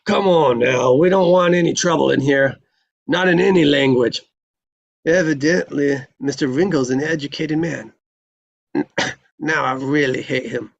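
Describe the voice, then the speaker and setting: Guy doing a boozy sardonic gunslinger gambler, creaky voice, dull lo-fi audio. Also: a little high, measured pace. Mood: sardonic, drunk. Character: drunken, sardonic, sickly southern gambler gunfighter. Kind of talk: live table read of a western screenplay.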